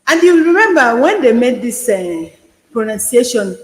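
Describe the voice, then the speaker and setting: A woman comments on the news in a strongly echoing, reverberant space. Her voice is high, with a Nigerian accent. She is opinionated and emphatic, often repeating a phrase to drive it home. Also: big pitch swings, moderate pace. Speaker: panelist. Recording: echoey, reverberant room sound.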